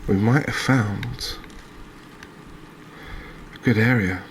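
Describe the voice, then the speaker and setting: A man with an English accent giving soft-spoken ASMR game commentary in a hushed, unhurried, hesitant manner. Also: fairly low pitch, a little hiss or hum, low-quality recording. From England. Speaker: gaming video host.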